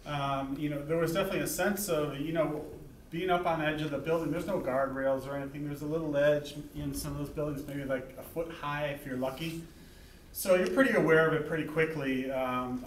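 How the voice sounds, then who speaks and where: A male cinematographer answering audience questions at a festival Q&A, relaxed and chatty. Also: mid-range voice. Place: theater. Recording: echoey hall, distant mic, noise.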